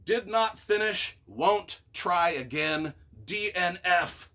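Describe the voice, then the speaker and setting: A man reading reviews aloud and reacting casually in an expressive, wry, self-deprecating way. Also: high voice, slow. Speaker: author and YouTuber.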